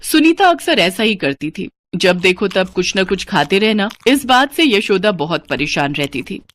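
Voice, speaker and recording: A woman's voice: mid-range voice, big pitch swings, normal speed, fairly bright tone. A woman narrating a scripted children's story as a storyteller.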